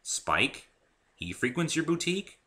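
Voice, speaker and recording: Man as casual, bright-voiced story hero. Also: on the high side, big pitch swings, measured pace, pitched above the performer's own voice, heavily acted. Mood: wary, curious. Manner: casual, wary. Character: polite, slightly awkward human man, story's hero.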